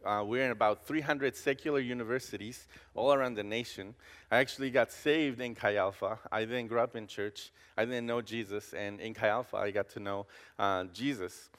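A man's voice: on the low side, fairly even intonation, moderate pace, fairly bright tone, big-room sound. A man in his thirties from Costa Rica with a Costa Rican accent, preaching as a guest campus pastor in a casual, joking way with frequent hesitations. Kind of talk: casual sermon. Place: church sanctuary.

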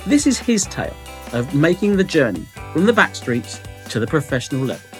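A man's voice: on the high side, big pitch swings, fairly quick, faint music behind. A man hosting a podcast, reading a scripted intro and asking direct, probing interview questions.

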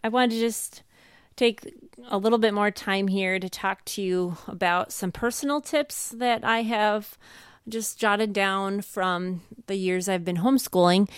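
Woman, medium pitch, smooth and clean tone. Reassuring, encouraging podcast advice, conversational delivery. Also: no room sound, clean studio sound. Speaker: podcast co-host.

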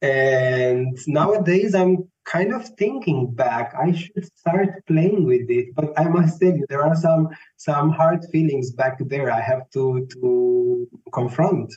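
Brief: Romanian-accented male tenor, 30s, unhurried and searching for words, muffled audio. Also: on the high side, a little mellow. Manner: slow, thoughtful, searching for words. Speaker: classical tenor, guest. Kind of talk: reflective interview answers. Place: Vienna. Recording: dull lo-fi sound, faint music.

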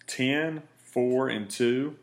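A man hosting a casual betting podcast, speaking in a laid-back, rambling way with a raspy voice. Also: mid-range voice, extremely slow. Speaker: podcast host.

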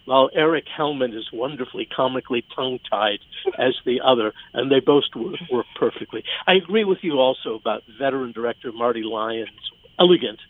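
A man reviewing theater conversationally on the radio, articulate and measured, as a critic. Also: medium pitch. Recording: thin phone-like sound.

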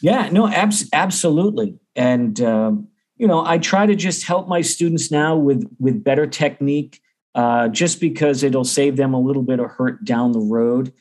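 A middle-aged man with an American accent speaks as an interview guest. His voice sits at an average pitch, and he talks in a chatty, informal way, like a teacher in relaxed conversation. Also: moderate pace. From Boston area, United States.

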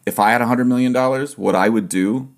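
A man hosting a casual interview, a fellow musician, speaking with halting restarts and brief backchannels. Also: medium pitch. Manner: conversational, short backchannels, halting starts. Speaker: interviewer, fellow steelpan player.

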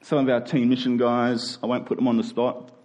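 A man preaching to a congregation in an emphatic but conversational way, stretching key words slowly and slipping into informal asides. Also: mid-range voice, flat delivery, a little mellow. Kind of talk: sermon to a congregation.

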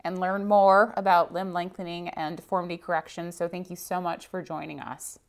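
A woman's voice: lowish voice, flat delivery, normal speed. A woman interviewing a guest, asking questions in an upbeat, effusive way.

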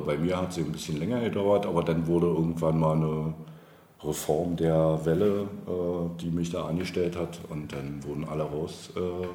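A man's voice: deep voice, dark tone. A man in his forties from eastern Germany answering interview questions in a reflective, winding, somewhat hesitant way. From Brandenburg, East Germany. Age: 40s. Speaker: interview guest, local politician. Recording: roomy echo, faint background noise.

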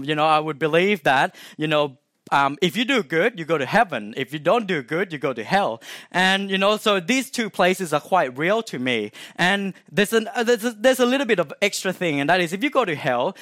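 A young Thai man with a Thai accent gives a personal testimony to a gathered audience. His voice sits a touch higher than most men's, and it is recorded dry and close with no room around it. He talks loosely, stopping often on "um" and restarting his phrases. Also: fairly bright tone, no room sound. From Thailand (Chiang Mai). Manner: chatty and informal, many "um"s and restarts, asks the audience questions. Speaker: guest speaker sharing testimony.

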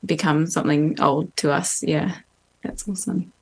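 A young woman from New Zealand with a softened Kiwi accent, co-hosting a podcast, friendly and often murmuring agreement. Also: deep voice, steady tone, moderate pace, slightly rough. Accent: mixed, not typically Kiwi. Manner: friendly, frequent backchannel mm. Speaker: podcast co-host, communications worker. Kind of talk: casual podcast chat.

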